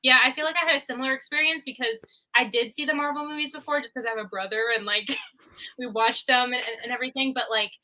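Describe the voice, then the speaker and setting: A young woman with a high, very bright voice chats as a guest on a livestream. She giggles often and uses plenty of fillers. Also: steady tone, fast talker, poor audio. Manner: giggly, with frequent laughs and fillers. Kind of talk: casual chat.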